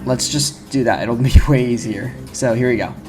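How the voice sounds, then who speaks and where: A young man with a slightly low voice gives casual video essay commentary over prominent music. He talks informally and rambles, often cutting into his own sentences. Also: normal speed. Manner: informal, rambling, self-interrupting. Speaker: video game YouTuber. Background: prominent background music.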